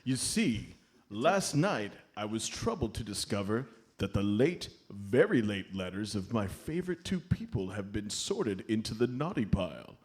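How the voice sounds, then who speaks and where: A man playing a jolly, avuncular Santa Claus, gently scolding and fond, in a live comedy radio play. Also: lowish voice, sing-song, unhurried. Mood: jolly, pleased. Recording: live stage comedy show recording.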